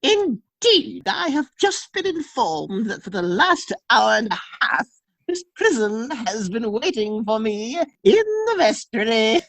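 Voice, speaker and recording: A woman playing a fussy, genial, pious elderly clergyman in a comic play reading, with an effusive, deliberate manner. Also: a little high, big pitch swings, fairly bright tone, no echo, full character voice. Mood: grieved, formal.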